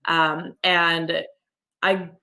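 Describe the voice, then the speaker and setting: A woman's voice: on the low side, flat delivery, very slow, vocal fry, sharp tone, a little hiss or hum. A young woman from Michigan speaking on a video call panel in a chatty way, full of fillers and "like". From United States (Michigan). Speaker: Navy officer panelist. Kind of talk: casual panel talk.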